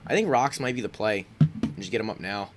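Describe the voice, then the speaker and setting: A man's voice: lowish voice, expressive, fairly quick, over music. A congested man giving casual commentary on his own game, thinking aloud with frequent ums and restarts. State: congested, sniffling. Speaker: gaming YouTuber.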